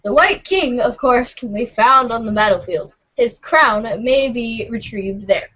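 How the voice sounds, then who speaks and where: A woman doing amateur scripted narration for a readers' theater show, in a formal, slightly halting way. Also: a little high, animated, a bit bright. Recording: muffled lo-fi audio.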